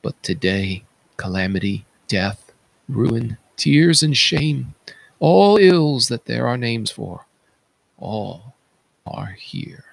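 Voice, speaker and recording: A man voicing a solemn palace attendant who recounts horrors in a declamatory stage-tragedy reading, with dramatic pauses. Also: mid-range voice, sing-song, gravelly, no echo, heavily acted, low-quality recording.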